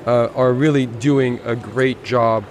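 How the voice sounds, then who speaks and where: A man chats in a relaxed, rambling way about what he has seen at a motor show. His voice sits at an ordinary middle pitch. A faint hum of the show floor runs under his voice. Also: slow pace. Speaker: car show reviewer. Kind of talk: casual walkaround chat. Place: busy motor show hall.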